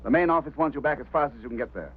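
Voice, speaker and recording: An actor playing a brusque, sarcastic, domineering boss in a 1950s drama, on a tinny old soundtrack. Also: male voice, mid-range voice, animated, racing, slight background noise, close to an everyday voice. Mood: cold authority. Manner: curt, sarcastic, commanding.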